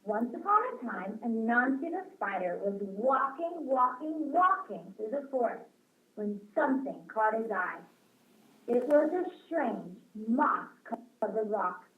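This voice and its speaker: A woman's voice: on the high side, lively intonation, a little slow, fairly bright tone. A woman reading a storybook aloud to children as a guest teacher, talking directly to them. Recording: roomy, phone-like webcast with faint noise.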